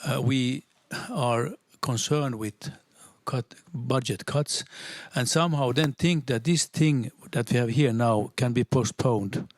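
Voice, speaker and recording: A man whose first language is Swedish speaks up from the audience at a seminar. He argues earnestly, stumbling and restarting often. His voice sits mid-pitch and sounds dry and close. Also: no echo. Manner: halting, many restarts, earnest and persuasive. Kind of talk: spontaneous public comment.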